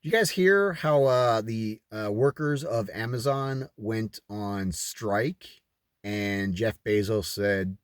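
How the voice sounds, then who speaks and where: A man's voice: fairly low pitch, expressive, slow, clear, resonant tone, dry recording, studio quality. A man reading aloud and adding his own off-the-cuff commentary, with emphatic, rhetorical delivery. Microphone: recording himself on camera.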